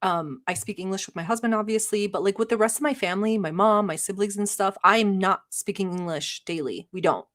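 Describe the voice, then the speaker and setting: A woman's voice: mid-range voice, fairly quick, hardly any pauses, fairly bright tone. A woman from Turkey who hosts a livestream, chatting casually and rambling with many filler words, sometimes bossy and sarcastic toward her chat. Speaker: livestream reaction host.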